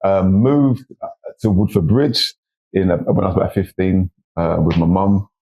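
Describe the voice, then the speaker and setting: A man in his forties with an East London accent talks easily as a podcast guest, his voice very low and husky. He rambles a little, doubling back over his words and dropping in quick agreements. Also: low-quality recording. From East London, England. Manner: relaxed, rambling with restarts and frequent agreement. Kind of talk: casual interview chat.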